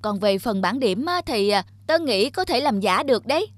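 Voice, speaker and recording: A woman voicing a chatty, cheeky schoolboy in a cartoon, lively and scheming. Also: on the high side, moderate pace, no room sound. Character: cheeky, chatty schoolboy pals egging on a friend. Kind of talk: cartoon dialogue.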